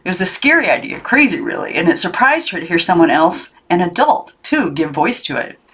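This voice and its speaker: A woman reading a children's novel aloud as narrator, in an expressive storytelling style. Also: fairly low pitch, a little fast, breathy edge. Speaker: audiobook narrator.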